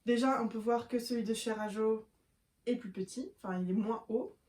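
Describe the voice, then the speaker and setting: A young woman chats to the camera about books, with plenty of small fillers and restarts. Her voice sits a little high but sounds mellow and relaxed. The room around her gives a noticeable echo. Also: moderate pace. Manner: chatty, with frequent fillers and self-corrections; shows books to camera while talking. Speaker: book vlogger. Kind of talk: casual chat to camera. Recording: echoey room.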